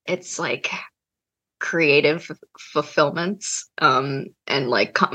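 A woman's voice: fairly low pitch, sharp tone. A woman in her twenties, an actor and interview guest, talking casually with many hesitations and fillers. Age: 20s. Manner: hesitant, filler-heavy speech. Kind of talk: casual conversation.

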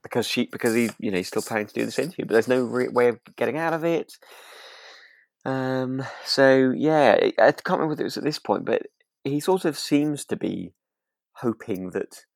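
A man co-hosting a relaxed podcast chat about a radio drama, speaking in a gentle, tentative way. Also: mid-range voice, brisk, gravelly, dry recording. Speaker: podcast co-host.

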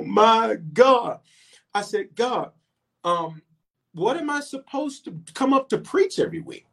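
A middle-aged man preaching with a voice pitched a bit high for a man. He swings from soft, conversational asides into sudden loud, emphatic bursts. He repeats lines for weight and talks straight to the people watching online. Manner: talks directly to online viewers, calling for waves and shout-outs. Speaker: pastor and bishop. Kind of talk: livestreamed sermon and prayer.

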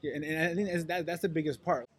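Guest, man, halting but animated talker with a slightly raised pitch. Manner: halting, repeats words, animated. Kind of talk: casual chat.

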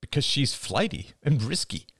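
A man playing a father in an audiobook, brisk and reassuring with a wry, playful side. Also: mid-range voice, sing-song, a bit bright, slightly rough, no echo, studio quality, voice pushed up, full character voice. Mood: teasing. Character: tense but teasing, reassuring father. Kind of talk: audiobook character voice.

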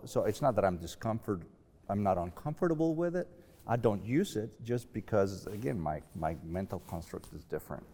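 A man from Latin America with a fairly low voice speaks conversationally as a panel guest. He feels his way through sentences, stopping and restarting. The sound carries a long, hall-like reverb. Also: mellow. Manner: halting, thinking aloud with repeated false starts.

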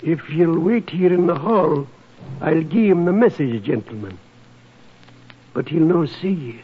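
Husky, high-voiced dour Scottish manservant. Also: male voice, animated, measured pace. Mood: dour, obstinate. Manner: dour, obstinate. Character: stubborn, dour old Scottish manservant at castle. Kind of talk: 1940s radio drama acting. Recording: dull 1940s radio broadcast.

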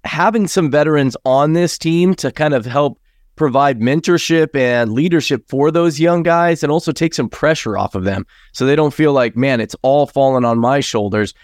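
A man hosting a casual sports podcast, slightly raspy from congestion and chatty, steering the talk with long questions. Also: mid-range voice, no room sound, studio quality. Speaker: podcast host.

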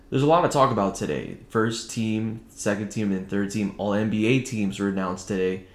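A man with a fairly low voice hosts a casual solo sports podcast. He talks conversationally, holding his volume down as if others are asleep nearby. The home recording has a clearly echoey, roomy sound. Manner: conversational, keeping voice low late at night. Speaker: podcast host. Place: indoors at home, echoey room.

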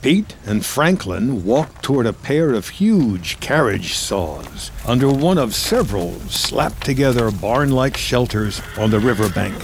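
A male narrator reads a dramatic screenplay in present tense with lively, varied pitch. Loud ambient noise and sound effects run behind his voice. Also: measured pace, few pauses.

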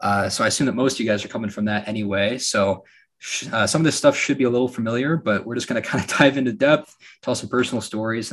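A young man from Alaska hosting a podcast, telling stories in a relaxed way with lots of fillers and a slightly raspy voice. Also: lowish voice. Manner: relaxed storytelling with frequent fillers and laughs. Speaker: podcast host. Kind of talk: casual podcast chat.

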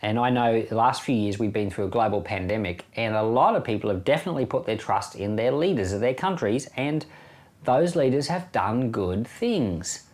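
A man's voice: medium pitch, lively intonation, normal speed, darkish tone. A middle-aged man with an Australian accent gives informal Bible commentary as a pastor, in a plain-spoken, conversational way. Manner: conversational, plain-spoken, chuckles now and then. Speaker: pastor hosting a Bible podcast.

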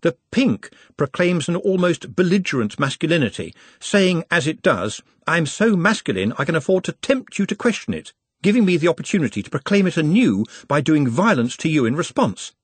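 A man acts out an anxious, bookish male servant from a storybook. He is earnest and painfully polite, choosing formal words with care in a raised, slightly husky voice, recorded dry. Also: a little high, big pitch swings, fairly quick, no room sound, voice pushed up. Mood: earnest, learned. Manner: precise, formal, hesitant wording.

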